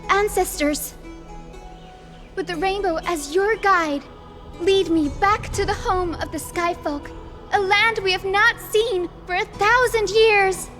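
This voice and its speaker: A woman's voice: extremely high pitch, takes it slowly, a bit bright, exaggerated voice. A woman voicing an earnest, hopeful young descendant of sky folk in a video game cutscene, with a solemn, poetic storytelling manner. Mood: pleading, uplifted. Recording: background music under the voice.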